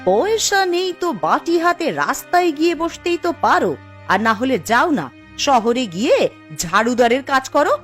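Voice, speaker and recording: Casting: actress for emotional cartoon village wife, high voice, emphatic and dramatic, light music behind. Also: female voice, big pitch swings, normal speed, fairly bright tone. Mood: scornful, angry. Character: emotional village wife, by turns scolding and tender. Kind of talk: Bengali fairy-tale cartoon acting.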